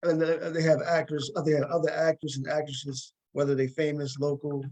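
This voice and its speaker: A man's voice: on the high side, flat delivery, moderate pace, darkish tone. A man hosting a talk show, interviewing conversationally and often repeating words as he forms his questions.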